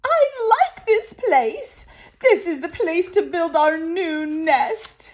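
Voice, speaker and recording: Woman putting on a high, fussy storybook lady bird. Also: animated, slow, full character voice. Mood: pleased, eager. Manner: complaining, emphatic. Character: fussy, bossy female bird, a discontented wife. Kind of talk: storybook character voice.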